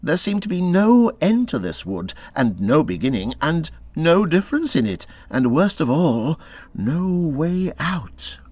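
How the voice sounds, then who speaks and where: A man reading a classic children's story aloud as an expressive narrator, with measured, dramatic phrasing. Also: a little high, no echo. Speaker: audiobook narrator.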